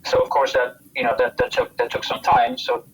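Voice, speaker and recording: An American man recounting his military experience in an interview, speaking haltingly and often repeating words as he goes. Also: medium pitch, sing-song, a little hiss or hum, low-quality recording. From United States. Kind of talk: spontaneous interview account.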